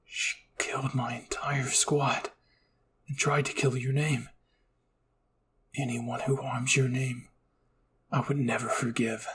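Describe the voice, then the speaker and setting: A man's voice: mid-range voice, little pitch movement, slow pace, pauses often, fairly bright tone, a little hiss or hum. A man acting a young soldier, desperate and vengeful, in an emotional, dramatic fan fiction roleplay. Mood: grim vengeful.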